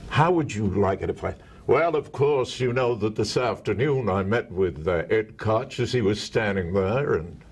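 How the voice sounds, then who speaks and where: A man's voice: lowish voice, lively intonation, moderate pace, few pauses, breathy edge. An older man, a veteran broadcaster, chatting as an interview guest and telling stories with jokes at his own expense. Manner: chatty, joking storyteller. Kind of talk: casual interview chat.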